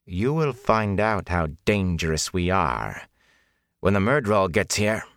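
A man voicing a cold, menacing young woman who serves a dark lord, unhurried and condescending, in an audiobook. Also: sits low, big pitch swings, no echo, studio quality, heavily acted. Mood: icy threat. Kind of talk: audiobook character acting.

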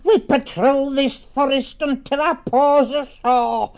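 Irate male animal soldier for storybook: high, shrill, slow and spluttering, quick to insult. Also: steady tone, darkish tone, highs cut off. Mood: indignant. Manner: spluttering, insulting, drawn-out words. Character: irate peg-legged male pine marten soldier, shrill and abusive. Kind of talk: dramatised audiobook storytelling.

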